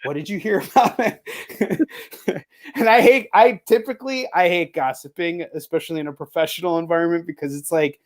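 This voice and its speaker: A man's voice: a little high, brisk. A man hosting a casual livestream chat, talking in a rambling, slangy way and swearing freely. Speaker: livestream host and indie comic creator.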